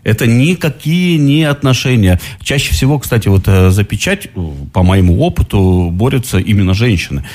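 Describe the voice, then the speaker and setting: A man's voice: on the low side, big pitch swings, normal speed, hardly any pauses, darkish tone. A man in his late forties co-hosting a radio talk show, speaking casually, bluntly and dismissively. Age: late 40s. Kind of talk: casual opinionated on-air banter.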